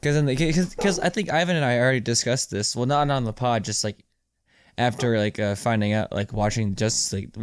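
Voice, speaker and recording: A man's voice: mid-range voice, a little fast, clear, resonant tone, no echo, studio quality. A man co-hosting a podcast, talking casually about movies in an informal, rambling, explanatory way and repeating phrases for emphasis. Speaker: podcast co-host.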